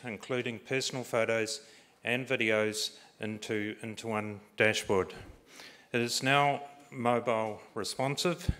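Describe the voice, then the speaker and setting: A man giving a prepared conference presentation in a steady, organized way with occasional fillers. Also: medium pitch.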